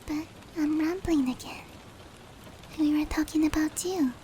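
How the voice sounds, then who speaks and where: A soft, breathy female demon played by a woman whispers tenderly in a high voice. She is caring and reassuring, in the close, intimate style of roleplay ASMR. Light rain noise runs behind her. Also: fairly even intonation, a little slow. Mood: gentle, curious. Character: gentle, caring female sleep paralysis demon comforting a human.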